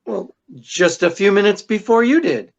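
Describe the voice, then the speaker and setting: A woman's voice: mid-range voice, expressive, normal speed, vocal fry. Woman plays devoted male sleuth, 1940s radio banter, swooping delivery, muffled lo-fi recording. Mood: defensive. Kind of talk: 1940s radio drama acting.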